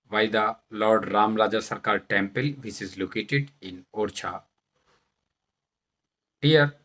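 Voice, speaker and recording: Slow, deliberate Indian man introducing himself. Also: lowish voice, a bit bright, low-quality recording. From Madhya Pradesh, India. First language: Bundelkhandi. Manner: deliberate, halting phrasing with stressed words. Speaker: vlogger, English learner turned presenter. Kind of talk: self-introduction talk to camera.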